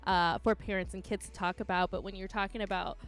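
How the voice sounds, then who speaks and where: A woman's voice: mid-range voice, steady tone, quick pace, faint music behind. A woman interviewer speaking casually and encouragingly in a personal one-on-one conversation.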